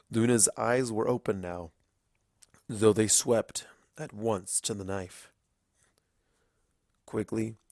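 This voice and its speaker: A man narrating dramatic fiction in a grave, deliberate storytelling style, with weighty pauses. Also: on the low side, darkish tone, dry recording, clean studio sound.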